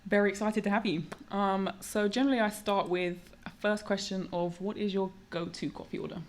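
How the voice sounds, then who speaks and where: A woman's voice: medium pitch, steady tone, brisk. Upbeat young woman hosting a podcast. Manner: upbeat, encouraging. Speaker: podcast host. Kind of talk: casual interview.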